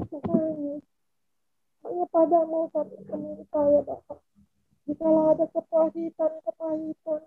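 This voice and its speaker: Woman prayer leader, gravelly and breathy, fairly high voice, fervent and repetitive, tinny phone-line sound. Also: no echo. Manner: repetitive, fervent, with trailing phrase endings. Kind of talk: spoken intercessory prayer.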